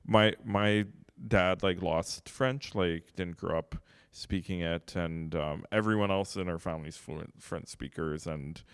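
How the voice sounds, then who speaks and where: A man interviewing an artist in a relaxed way, asking curious questions with lots of filler words. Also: low-pitched, measured pace, clean tone, no room sound, studio quality. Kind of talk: casual interview talk.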